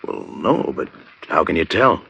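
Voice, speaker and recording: An actor playing an uneasy but protective American friend who talks plainly and reluctantly, in old radio noir style. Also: male voice, sits low, very animated, unhurried, vocal fry, sharp tone, breathy edge, full character voice. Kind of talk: 1940s-50s radio noir acting. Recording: dull, dry vintage radio recording.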